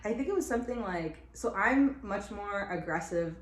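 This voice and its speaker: A young woman co-hosting a podcast, chatting casually with a friend, animated and full of fillers and laughter. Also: medium pitch, normal speed. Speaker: podcast co-host. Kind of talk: casual chat between friends. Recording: roomy with faint noise.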